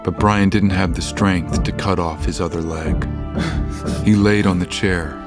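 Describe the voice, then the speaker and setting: A man's voice: sits low, fairly even intonation, a little slow, over music. A man narrating a scripted horror audio drama as its storyteller.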